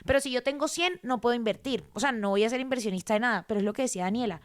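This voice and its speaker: A woman, explaining personal finance in a casual, animated conversation and repeating words for emphasis. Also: fairly high pitch, racing. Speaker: guest.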